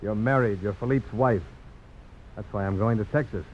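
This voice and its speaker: A man acts out a rugged frontier adventurer with a fairly low voice. He is terse, blunt and sure of himself. It comes through a dull, noisy old radio drama recording. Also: normal speed, darkish tone, close to an everyday voice. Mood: tender, firm. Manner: terse, blunt, self-assured. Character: rugged, resolute frontier adventurer and knife fighter. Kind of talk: 1950s radio drama acting.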